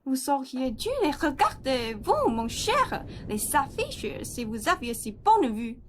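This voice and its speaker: A woman's voice: sits high, very animated, slow pace, slight background noise, exaggerated voice. A young woman playing an imperious, dramatic rich lady of the house in a French radio play, haughty and exclamatory. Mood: dismissive. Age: young adult. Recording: music behind the voice.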